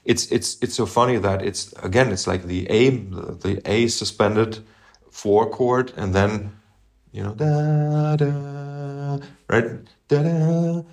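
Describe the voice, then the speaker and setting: A middle-aged man co-hosting a podcast, talking through music in a thoughtful, halting way and humming phrases to make his point. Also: medium pitch, fairly even intonation. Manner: thoughtful, halting, hums melodies to illustrate. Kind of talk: casual music discussion.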